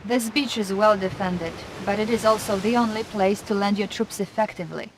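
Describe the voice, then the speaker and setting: A woman playing a loyal Soviet military aide, measured and deferential, briefing her commander in a game. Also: mid-range voice, fairly even intonation, very noisy, close to an everyday voice. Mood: crisp, businesslike.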